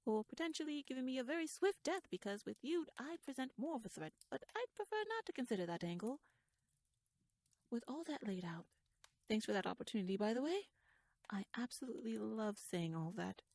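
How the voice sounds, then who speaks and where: A shrewd young noblewoman, played by a woman, speaks in a fairly high voice, close and dry on the mic. She rambles in formal, courtly phrases with wry asides, nervous yet playfully bold. Her soft delivery often drops toward a whisper, in the intimate style of ASMR audio drama. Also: lively intonation, a little fast, dry recording. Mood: eager, persuasive. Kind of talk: ASMR audio roleplay, addressed to the listener. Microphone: close microphone, dry.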